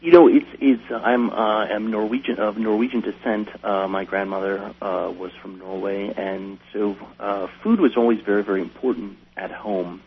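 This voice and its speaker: An American man tells stories casually as a guest, halting and restarting as he goes. His voice sits fairly low with a gravelly creak. The recording is dull radio audio with a faint hum. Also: steady tone. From Wisconsin, United States. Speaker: chef, interview guest. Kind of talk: casual interview storytelling.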